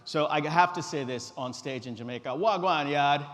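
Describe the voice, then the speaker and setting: A middle-aged man from Guyana giving an informal live stage presentation in a relaxed, direct, joking manner. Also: a little high, moderate pace. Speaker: company founder presenting a tech demo. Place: conference stage in a large reverberant hall. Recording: echoey hall through a stage PA.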